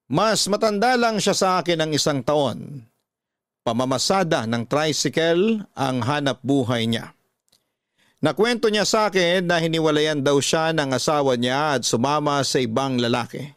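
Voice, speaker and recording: Male Filipino radio storyteller; slightly high voice, dry close sound, scripted confessional narration. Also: moderate pace, dry recording. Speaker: radio drama host and narrator.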